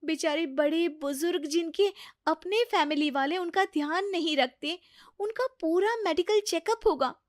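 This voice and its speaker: A woman's voice: very high-pitched, a little slow, no echo, studio quality, pitched above the performer's own voice. A woman voicing a self-important, busy young daughter-in-law with a dismissive manner in a dramatised moral story. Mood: exasperated.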